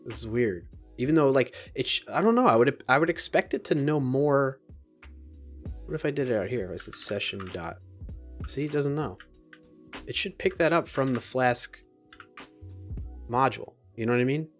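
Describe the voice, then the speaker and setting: A man's voice: medium pitch, animated, moderate pace, pauses often, soft background music. A man streaming live code who thinks aloud in a casual way. He talks to viewers between tasks and often trails off and restarts his sentences. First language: English. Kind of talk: live coding stream chat, reading viewer comments aloud.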